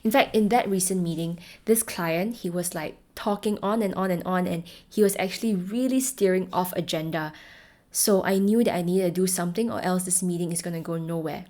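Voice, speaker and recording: A young woman from Singapore with a Singaporean English accent, hosting a solo podcast as a voice coach, speaking clearly and encouragingly. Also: on the low side, a little fast. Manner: clear, encouraging, shifts between firm and gentle tones.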